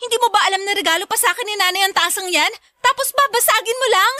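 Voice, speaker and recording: A woman's voice: extremely high pitch, fast talker, bright, forceful tone, no room sound. A woman playing a resentful, hot-tempered young elder sister who scolds sharply in a radio drama. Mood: furious, accusing.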